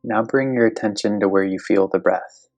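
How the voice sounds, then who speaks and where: A meditation guide speaking slowly and gently, giving calm step-by-step instructions in a guided meditation. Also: deep voice.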